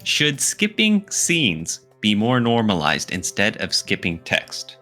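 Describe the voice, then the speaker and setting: Deep, bright-voiced man co-hosting a casual podcast. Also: wildly swooping pitch, slow pace, faint music behind. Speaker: podcast co-host. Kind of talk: casual, joking opinion talk.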